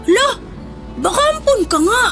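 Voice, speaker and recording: A woman's voice: extremely high pitch, big pitch swings, moderate pace, gravelly, heavily acted. A woman with a Filipino accent voicing a cheeky, playful younger boy, the favored child, in a radio drama. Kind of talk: Tagalog radio drama acting. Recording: music under the voice.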